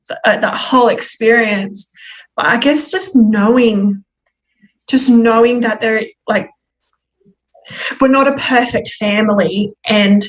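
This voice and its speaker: A woman's voice: a little high, rough recording. Woman sharing a personal story, candidly. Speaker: podcast guest.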